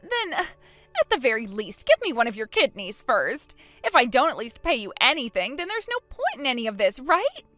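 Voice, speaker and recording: A woman's voice: mid-range voice, sing-song, normal speed, few pauses, pushed and bright, dry recording, soft background music. A woman playing a shameless, demanding villainess in a melodramatic audio story, pleading in a pushy whine. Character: shameless, desperate, demanding villainess begging for a kidney.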